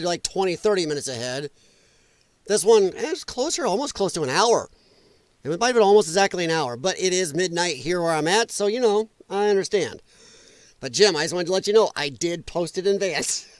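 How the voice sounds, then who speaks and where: A man hosting a casual late-night livestream at home, rambling and self-deprecating as he chats with viewers, his voice a little rough. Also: high-pitched, dry recording. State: rough voice, occasional coughing. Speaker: livestream host. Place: home room at night, animals nearby.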